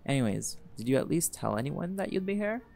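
A man's voice: a little high, big pitch swings, fairly quick, gravelly. An actor voicing a laid-back, teasing male jaguar shifter in an audio roleplay, blunt and flirtatious, with music playing behind.

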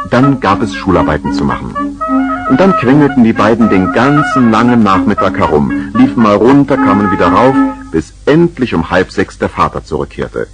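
Male narrator for 1970s children's audio drama, on the low side, expressive intonation. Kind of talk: scripted storytelling narration for a children's radio play.

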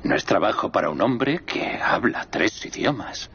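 A man's voice: on the low side, animated, normal speed, gravelly, fairly bright tone, slightly rough, full character voice. An actor dubbing a bearded man who brags about his languages and later yelps in pain while stuck under a table. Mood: boastful. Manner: boastful, flustered, yelping. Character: bearded man, boastful amateur cook. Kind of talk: Spanish dub of a TV drama. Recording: slightly dull TV audio over music.

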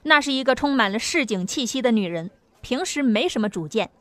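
A woman's voice: fairly high pitch, expressive, brisk, a bit bright, dry recording. A woman narrating a novel as an audiobook, reading a dramatic script. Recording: music under the voice.

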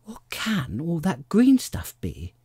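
A small, well-mannered storybook girl, as a reader puts her on. Her voice is pitched far up and moves in lively swoops, earnest and a little bewildered, with a soft breathy grain. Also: moderate pace, dry recording, clean studio sound. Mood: puzzled.

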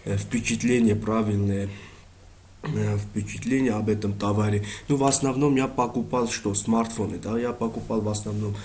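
A man with a lowish voice and a non-native Russian accent, speaking haltingly with frequent fillers and self-corrections. He talks through a screen-recorded review. A faint hiss sits under the voice. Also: fairly even intonation, darkish tone. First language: not Russian. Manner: halting, many fillers and restarts. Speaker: online shopping reviewer.

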